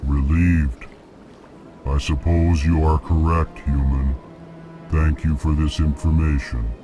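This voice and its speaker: A man playing a ponderous alien merchant in a video game, speaking in a flat, formal, deliberate way and announcing each feeling. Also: extremely low pitch, music in the background. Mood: ponderous, polite. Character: slow, ponderous alien merchant who announces his emotions.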